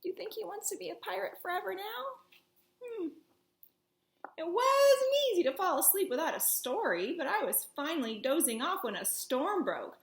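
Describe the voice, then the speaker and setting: A woman reading a children's story aloud with theatrical, expressive emphasis in a roomy, echoing space. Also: very high-pitched, normal speed. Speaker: storybook reader.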